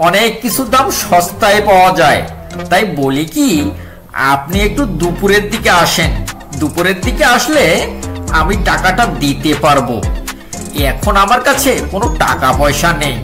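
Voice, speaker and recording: A man's voice: high-pitched, very animated, moderate pace, over music. An actor voicing a wily male villager who dodges repaying a debt with fawning excuses in a cartoon. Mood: evasive. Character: wily male debtor villager, evasive and smooth-talking.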